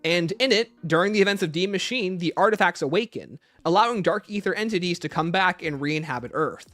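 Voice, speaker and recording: Higher-pitched man hosting a livestream Q&A. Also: expressive, brisk, a bit bright, no room sound, faint music behind. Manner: reads viewer questions aloud and answers them off the cuff. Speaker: livestream host. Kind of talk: explanatory livestream Q&A commentary.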